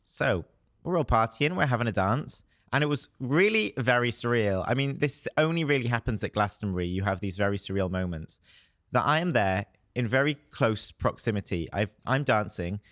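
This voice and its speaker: A hoarse young man, a showbiz reporter telling festival stories in a chatty way and laughing often. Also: medium pitch, expressive, normal speed, a bit bright, no echo, studio quality. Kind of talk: casual podcast chat.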